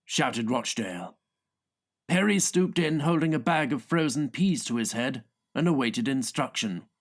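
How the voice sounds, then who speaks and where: A man's voice: medium pitch, lively intonation, measured pace. A man narrating scripted comic fiction as an audiobook reader, with a theatrical storytelling delivery.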